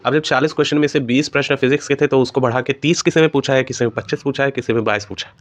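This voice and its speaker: A man's voice: medium pitch, steady tone, very fast, no room sound. A man hosting an informal exam news update, explaining things to students and mixing in English terms. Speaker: host of an exam news channel.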